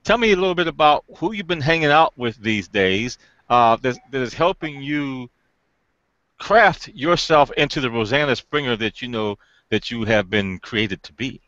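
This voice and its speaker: A man's voice: medium pitch, lively intonation, moderate pace, a bit bright, dry recording, muffled recording. A man hosting an online interview, upbeat and folksy, with frequent ums and uhs. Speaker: host.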